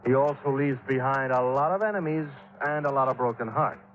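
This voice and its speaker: A man's voice: mid-range voice, brisk, close to an everyday voice. An actor playing a worried, exasperated businesslike insurance man in a 1950s radio drama. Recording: dull lo-fi 1950s radio recording.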